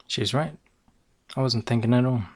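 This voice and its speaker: Man playing deadpan, boastful noir private eye over music. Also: mid-range voice, moderate pace, husky, no room sound. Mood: deadpan, rambling. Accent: Northern English. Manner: deadpan, hesitant asides. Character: boastful, bumbling male noir private eye, hard-drinking. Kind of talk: scripted noir roleplay narration, ASMR.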